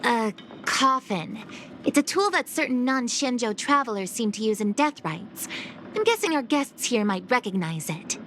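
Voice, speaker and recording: A woman's voice: a little high, lively intonation, normal speed, fairly bright tone, slight background noise. An actress voicing a put-upon female assistant in a video game, precise, weary and matter-of-fact.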